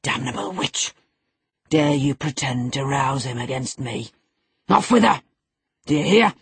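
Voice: mid-range voice, fairly even intonation, moderate pace, breathy edge, no echo. A narrator voicing a savage, brooding middle-aged master of a house, harsh, imperious and threatening, in an audiobook. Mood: savage fury.

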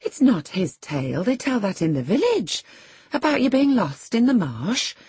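A woman's voice: a little high, sing-song, normal speed, a little mellow, husky, dry recording, voice pushed up, full character voice. A woman voicing a chatty, familiar country housekeeper who rambles and exclaims in rustic turns of phrase in an audiobook.

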